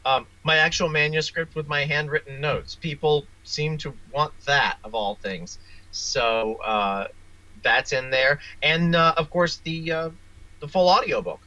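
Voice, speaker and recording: A man's voice: fairly high pitch, measured pace, bright, forceful tone, muffled recording. A man giving casual interview answers as a guest author, rambling and hesitant in his delivery. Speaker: interview guest, author and audio drama producer.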